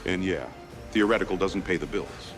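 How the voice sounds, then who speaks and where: A man's voice: deep voice, normal speed, close to an everyday voice. An actor voicing a down-to-earth, exasperated male ghost hunter, plain-spoken and wryly grumbling, in a motion comic. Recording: loud music bed under voice.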